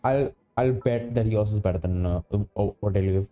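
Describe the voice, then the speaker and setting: A man livestreaming a game with casual, somewhat hesitant, halting chat. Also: lowish voice, mellow, faint music behind.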